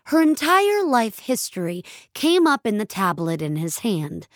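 A woman narrating a scripted, dramatic fiction story in a measured, expressive storytelling manner. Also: medium pitch, dry recording, studio quality.

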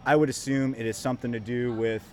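A man's voice: medium pitch, quick pace, a little hiss or hum. Laid-back male sports podcast host. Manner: relaxed, rambling with fillers. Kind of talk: casual sports podcast chat.

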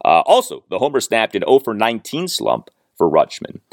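Deep, animated American male sports podcaster. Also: normal speed, vocal fry, no echo, studio quality. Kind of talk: solo baseball recap and commentary.

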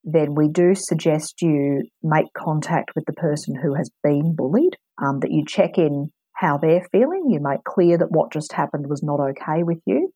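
A woman, giving calm, measured advice as an interview guest, with frequent ums. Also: sits low, husky, no room sound, rough recording. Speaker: anti-bullying expert guest. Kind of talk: calm advisory interview answers.

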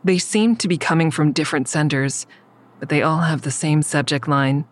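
A woman's voice: deep voice, moderate pace, close to an everyday voice. A woman acting an anxious, secretive character who is in over her head, narrating and speaking in the first person in an audio drama. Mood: tense, dreading.

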